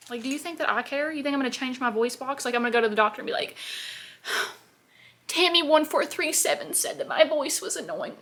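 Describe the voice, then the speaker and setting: A woman in her twenties, a beauty vlogger, chatting casually and sassily while doing her makeup at home. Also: on the high side. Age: 20s. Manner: chatty, sassy, animated asides. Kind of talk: casual get-ready-with-me chat. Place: home, at her parents' house.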